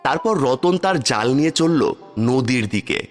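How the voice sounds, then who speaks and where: A man's voice: mid-range voice, animated, normal speed, loud music behind the voice. A man narrating a scripted cartoon folk story.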